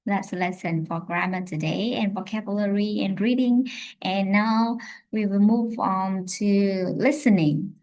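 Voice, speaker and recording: Woman, live-stream English teacher, slow and halting delivery. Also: mid-range voice, fairly even intonation, a little mellow. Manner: slow, hesitant, many fillers and self-corrections. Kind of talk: live-streamed language lesson.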